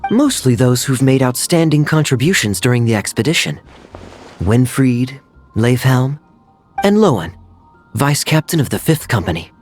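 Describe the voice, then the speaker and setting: An actor playing a suave, teasing adult male knight in a game, smooth and given to chuckling. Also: medium pitch, big pitch swings, moderate pace, faint music behind, close to an everyday voice. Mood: wry. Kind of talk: game cutscene voice acting.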